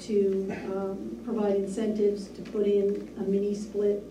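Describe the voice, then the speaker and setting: A woman reporting to a town board in a measured, businesslike way with frequent ums, recorded in a small municipal meeting room by a distant camera mic. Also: medium pitch, completely flat delivery, dark tone, reverberant, slight background noise. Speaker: town administrator. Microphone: far room camera.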